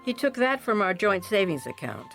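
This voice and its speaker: A woman's voice: medium pitch, very animated, moderate pace, gravelly, fairly bright tone, soft background music. A woman playing an anxious, insistent mother and wife in a radio drama, earnest and pleading. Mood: uneasy, explaining.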